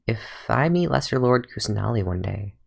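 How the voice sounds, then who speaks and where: Fan game dub: a young man as a calm, sardonic fox-eared ranger scholar with a gravelly, fry-laden tone. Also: lowish voice, a little fast, exaggerated voice. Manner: dry, sardonic, with soft musing asides. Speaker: fan voice actor. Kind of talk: fan redub of game voice lines.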